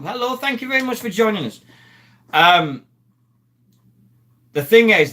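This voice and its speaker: Man in his fifties, rambling live-stream host, bright forceful voice. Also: on the high side. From Sheffield, England. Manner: rambling and chatty, greeting viewers and reading the chat aloud. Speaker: live stream host, former rugby league player. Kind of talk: casual live stream chat.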